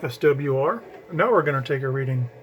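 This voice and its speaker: Man, casual hands-on demo, rambling explanations, mid-pitched voice, faint background hiss. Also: expressive, a little fast, muffled recording. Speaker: CB radio hobbyist. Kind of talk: casual how-to demonstration. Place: at home with radio gear. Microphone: camera mic, handling gear while talking.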